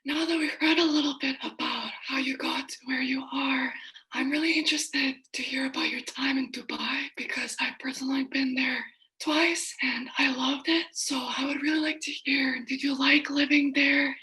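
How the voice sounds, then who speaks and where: Woman interviewer, high and bright, whispery questioning. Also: steady tone, normal speed, low-quality recording. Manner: whispery, breathy delivery.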